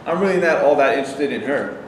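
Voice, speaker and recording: A man playing a level-headed, easygoing soldier in an amateur staged play reading, measured and plainspoken. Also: medium pitch, animated, close to an everyday voice. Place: echoey hall, away from mic. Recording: distant, echoey hall, faint noise.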